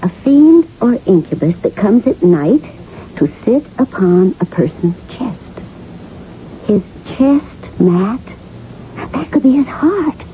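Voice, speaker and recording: An actress in an early 1980s radio drama, playing a sly, teasing confidante with a languid, insinuating manner. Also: female voice, a little high, sing-song, slow pace. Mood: sly, insistent. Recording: muffled old radio broadcast, faint hiss.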